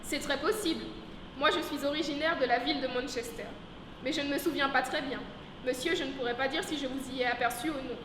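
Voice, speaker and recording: A young woman, a drama student, playing a prim, formal English lady in a school rehearsal, speaking in a measured, repetitive way, recorded far off in an echoey hall. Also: high voice, background noise, lightly acted. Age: young adult. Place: large echoey school room.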